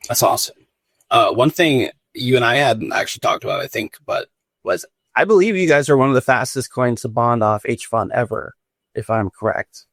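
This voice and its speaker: A man's voice: medium pitch, lively intonation, normal speed, a bit bright, dry recording. A man hosting a podcast, asking laid-back, slangy questions. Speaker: podcast host. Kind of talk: casual podcast interview.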